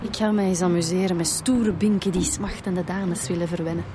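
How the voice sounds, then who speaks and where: An actress playing a cheeky, resourceful police detective with a Flemish accent in a radio drama, colloquial and teasing. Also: female voice, lowish voice, steady tone, a little fast, background noise, natural voice.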